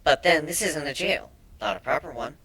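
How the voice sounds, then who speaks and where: A man's voice: a little high, animated, moderate pace, pushed and bright, slightly rough, voice pushed up, exaggerated voice. A man voicing a sarcastic, defiant female changeling held captive in a dramatic story reading, with a biting, exasperated delivery.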